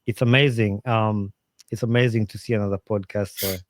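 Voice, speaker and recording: A man with a slightly low voice talks earnestly and animatedly as a podcast guest. He restarts often and fills pauses with "um" and "like". The sound is dry and close, with little room in it. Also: fairly even intonation, no echo. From Uganda. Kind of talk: casual interview talk, giving advice.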